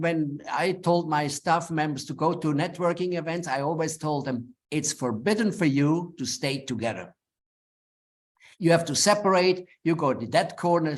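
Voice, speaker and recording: A middle-aged man from Switzerland with a Swiss German accent, English not his first language, giving earnest, plain-spoken advice in a webinar talk with frequent restarts. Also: a little high. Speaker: webinar speaker. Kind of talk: advisory talk to lawyers.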